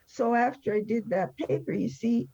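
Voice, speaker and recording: A woman's voice: a little high, normal speed, mellow, breathy edge. A woman in her seventies telling personal stories as an interview guest, conversationally, in a candid, wry and emphatic way. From born in France. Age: 70s. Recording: dull, lo-fi sound.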